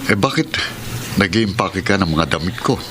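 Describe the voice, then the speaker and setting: A man's voice: low voice, lively intonation, moderate pace, a little hiss or hum. A man acting a conflicted, tender-hearted boyfriend in a sentimental radio drama, speaking in an earnest, heartfelt way. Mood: puzzled. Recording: radio drama with loud music underneath.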